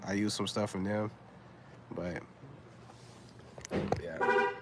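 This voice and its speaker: A man's voice: lowish voice, fairly even intonation, slow, noisy background. A man in his thirties chatting casually on a livestream, laid-back and rambling, full of fillers and slang. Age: 30s. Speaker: vlogger on a livestream.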